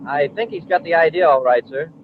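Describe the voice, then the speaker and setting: An actor playing a boyish, restless and deferential space cadet sidekick in an old-time radio drama. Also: male voice, on the high side, brisk, lightly acted. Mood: eager. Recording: tinny, hissy vintage radio recording.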